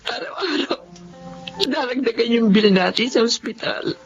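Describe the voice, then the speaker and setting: A woman's voice: a little high, normal speed, creaky, muffled recording, soft background music. An actress playing a despairing mother who pleads and cries over her sick child in a radio drama.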